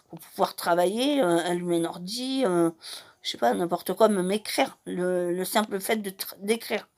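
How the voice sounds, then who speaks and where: A woman's voice: lowish voice, a little mellow. A woman in her forties, from Madeira and a native Portuguese speaker, talking about her life in a hesitant way with many fillers and restarts. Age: 40s. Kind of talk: casual personal monologue.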